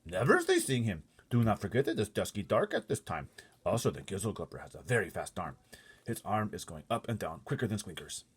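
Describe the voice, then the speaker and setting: Big kindly storybook giant played by a man: husky, emphatic, folksy, with mangled grammar and a swooping delivery. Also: lowish voice, huge pitch swings, a little fast, voice pushed up, full character voice. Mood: eager, explaining. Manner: muddled grammar and made-up words, emphatic. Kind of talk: storybook character voice.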